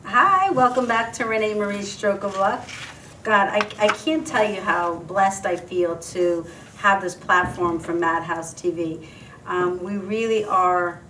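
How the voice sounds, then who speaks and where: A woman's voice: on the low side, a bit bright, distant mic, a little hiss or hum, poor audio. A woman hosting a live web talk show, speaking effusively with frequent halts and restarts. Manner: effusive, halting, restarts often. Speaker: host.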